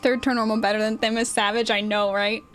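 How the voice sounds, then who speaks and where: A woman streams a game and chats informally as she plays. Her voice is high, and her manner is casual and joking. Music can be heard faintly behind her. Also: little pitch movement, a little fast, a bit bright. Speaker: gaming streamer. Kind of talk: casual gameplay chat.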